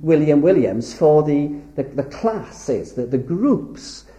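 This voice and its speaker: Male preacher from Wales, earnest and emphatic, lively pitch, roomy hall sound. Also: slow, dull audio. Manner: earnest, emphatic, lingers on key words. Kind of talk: sermon lecture. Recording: echoey hall.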